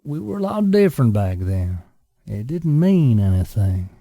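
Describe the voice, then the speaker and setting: A man playing a devoted, conflicted friend in an audio drama, gentle and guarded. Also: medium pitch, big pitch swings, unhurried, no echo, clean studio sound. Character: devoted, conflicted male friend hiding his love.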